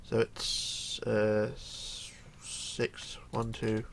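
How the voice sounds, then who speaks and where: Guy with a cold doing a game build tutorial at his desk, gravelly and hoarse, keeps counting blocks under his breath. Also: fairly low pitch, monotone, drawn-out words, a little hiss or hum. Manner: chatty, counts blocks aloud, often trails into mumbling. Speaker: tutorial host. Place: home computer desk.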